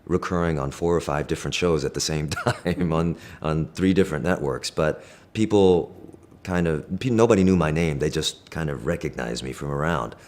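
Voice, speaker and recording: A middle-aged man, a native Korean speaker raised in the United States, giving thoughtful, measured interview answers with frequent fillers and restarts. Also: a bass voice, darkish tone. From Korea, raised in Pennsylvania.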